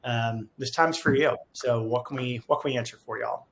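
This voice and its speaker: Guy co-hosting a webinar, mid pitched voice, casual, kinda rambles and thinks out loud. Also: moderate pace. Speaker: co-host of a company webinar, software company staff. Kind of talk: casual panel talk.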